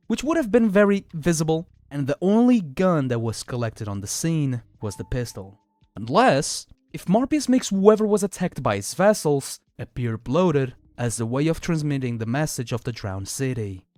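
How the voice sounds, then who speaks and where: Male video essay narrator: expressive and dramatic, wide pitch movement, very dry recording. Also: no room sound. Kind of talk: scripted narration of a horror series analysis.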